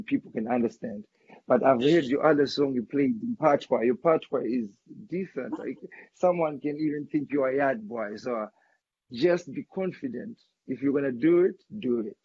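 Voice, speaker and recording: A man from Zimbabwe, a guest artist talking casually in an interview, chatty and quick to repeat himself. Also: medium pitch, dark tone, husky. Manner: chatty, repeats words, says bro a lot. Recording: dull lo-fi sound.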